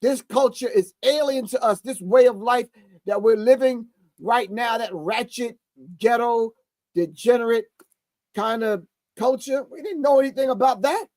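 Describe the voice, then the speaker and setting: A man's voice: extremely high pitch, unhurried, darkish tone, no room sound. A man in his sixties hosting a show, reacting to a video with emphatic, preachy commentary and repeated key phrases. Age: 60s. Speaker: host.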